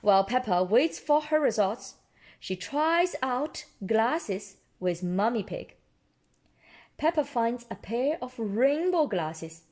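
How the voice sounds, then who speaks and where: A woman storyteller reading children's picture books aloud in an expressive, deliberate way, adding her own small asides. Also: a little high. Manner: expressive, deliberate delivery for toddlers. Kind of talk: children's read-aloud narration with ad-libbed asides.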